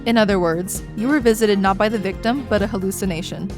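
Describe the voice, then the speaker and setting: A sharp female rabbit prosecutor speaks curtly and dismissively, as a woman plays her. Clear music plays behind her. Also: mid-range voice, fast, lightly acted. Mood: cutting. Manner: curt and cutting. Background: background music.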